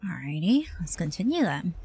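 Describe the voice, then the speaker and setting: Lowish-voiced woman streaming a game. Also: sing-song, normal speed, mellow, breathy edge. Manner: musing aloud, informal. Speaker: game livestreamer. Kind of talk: casual live reaction commentary. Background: game music.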